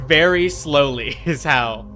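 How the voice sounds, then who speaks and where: A man's voice: a little high, slow pace, bright, forceful tone. Blunt, sweary man streaming over music. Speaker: livestream host. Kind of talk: casual gaming stream chat while playing a horror game.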